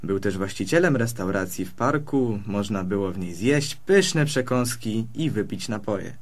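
A man's voice: on the low side, lively intonation, moderate pace, close to an everyday voice. A man playing a friendly, peaceable fox who tells stories politely and evenly in a children's audio play. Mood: storytelling. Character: friendly, peaceable male fox recounting local history.

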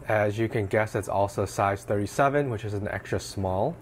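A young man talks through an unboxing in a small room at home, his lowish voice a little hoarse from a cold. He explains things in a relaxed, easy way, with plenty of "like" along the way. A faint background hum sits under his voice. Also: normal speed. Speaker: fashion vlogger. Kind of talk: casual unboxing narration.

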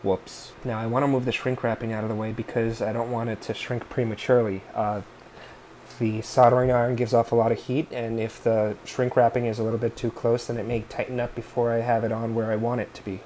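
A man's voice: mid-range voice, little pitch movement, fairly quick, mellow, slight background noise. A man in his thirties talking casually through a hands-on repair tutorial at home, thinking aloud with fillers and little asides. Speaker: DIY video host. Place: indoor living room, seated at a workbench board.

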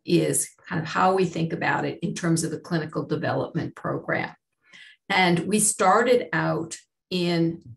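A middle-aged woman with a Boston accent, presenting a medical webinar over a video call in a measured, explanatory way. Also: low-pitched, creaky. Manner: measured, explanatory, slow and deliberate. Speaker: chief medical officer. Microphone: webcam on a video call.

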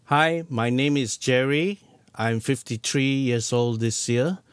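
A man in his fifties from Singapore giving a measured, halting self-introduction as a recorded sample. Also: mid-range voice, clear, resonant tone, dry recording. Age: 50s. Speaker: demo speaker.

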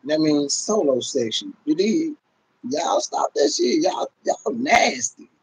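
Husky, high-pitched, animated American man. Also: slow, low-quality recording. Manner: blunt, profane, emphatic, repeats himself. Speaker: livestream host. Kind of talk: casual livestream rant to viewers. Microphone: phone or webcam at arm's length.

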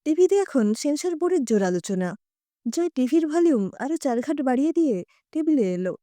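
A woman storyteller reads a scripted tale expressively. Her voice is high and mellow, with very lively intonation. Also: a little fast, no echo, clean studio sound.

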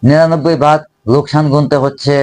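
A man's voice: a little high, level tone, fast, darkish tone. A man from Bangladesh with a Bangladeshi accent, giving opinionated commentary as a video host.

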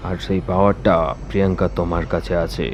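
A menacing ghost villain from a horror cartoon, acted by a man. The voice sits low and speaks slowly with cold command. Loud music plays behind it. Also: little pitch movement, highs cut off, close to an everyday voice. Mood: sinister, commanding. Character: menacing ghost villain plotting to destroy humankind. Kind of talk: cartoon horror story dialogue.

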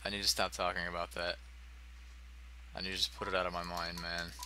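An American man from Ohio giving casual, rambling, profane gameplay commentary in a monotone manner. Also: low-pitched, a little fast, a little hiss or hum, poor audio. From United States, Ohio. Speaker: let's play host. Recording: game music behind the voice. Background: video game music.

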